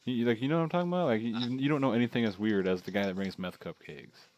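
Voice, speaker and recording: A man's voice: medium pitch, rapid-fire, a little mellow. A young man chatting casually and telling rambling jokes while playing a game with a friend. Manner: joking, rambling storyteller. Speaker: gamer. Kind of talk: casual co-op gaming banter.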